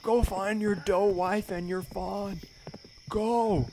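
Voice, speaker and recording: A man voicing a flighty, exasperated young valley-girl woman in an improvised comedy podcast, with a creaky voice. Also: high-pitched, fairly even intonation, slow pace, pitched above the performer's own voice. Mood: excited. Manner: exasperated valley-girl talk, dramatic and self-addressing. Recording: faintly noisy remote podcast recording.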